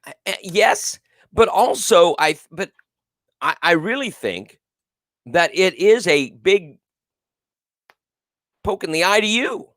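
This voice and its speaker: A man's voice: sits high, lively intonation, slow pace, pauses often, fairly bright tone, dry recording, clean studio sound. A male talk show host with a big, carrying voice, giving emphatic, repetitive political commentary and reading viewers' comments aloud. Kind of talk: live political call-in commentary.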